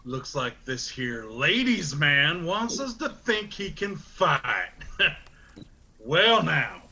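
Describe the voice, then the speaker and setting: An actor playing a gloating, taunting mountain bully in a family feud, with an Appalachian accent, in a radio drama. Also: male voice, a little high, very animated, bright, forceful tone, exaggerated voice. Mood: gloating, mocking. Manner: taunting, drawn-out.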